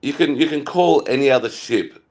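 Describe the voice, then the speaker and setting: A man's voice: medium pitch, normal speed, darkish tone. A man who served in the navy, bantering bluntly and crudely on a casual call, teasing his friends without mercy. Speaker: guest, navy veteran.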